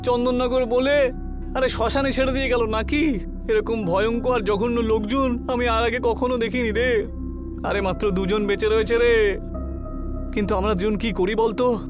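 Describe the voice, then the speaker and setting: A man's voice: very high voice, steady tone, moderate pace. An actor playing a frightened, urgent young man in a horror cartoon. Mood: panicked. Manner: urgent, anxious, colloquial. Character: frightened young men, friends fleeing haunted cinema. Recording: background music under the voice.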